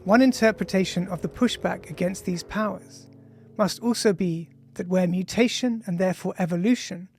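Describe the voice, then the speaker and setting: An author reading their own nonfiction audiobook in a measured, formal, deliberate way. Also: mid-range voice, gravelly, slightly rough. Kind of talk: scripted audiobook narration of an essay.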